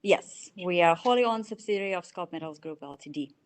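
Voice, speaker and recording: A woman in her forties from Belarus with a Russian accent, answering interview questions in a halting way with many fillers. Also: fairly low pitch, expressive. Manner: halting, searching for words, frequent fillers. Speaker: CFO, interviewee.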